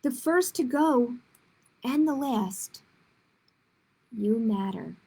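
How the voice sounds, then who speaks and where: A woman's voice: on the high side, animated, takes it slowly, creaky, a little mellow, husky. A woman hosting a children's storytime, reading picture books aloud in simple phrases with casual asides to her listeners. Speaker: children's librarian, storytime host.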